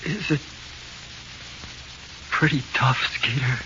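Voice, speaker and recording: An actor playing a frightened small-time killer in 1940s radio horror, with nervous, halting, slangy talk. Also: male voice, mid-range voice, lightly acted. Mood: dread. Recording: muffled hissy 1940s radio recording.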